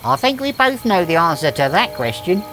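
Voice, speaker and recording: A woman's voice: fairly low pitch, big pitch swings, normal speed, background noise. A woman playing a mocking, bossy companion with dry sarcasm in a comedy audio drama. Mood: dry mockery. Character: mocking, bossy companion needling monster hunters.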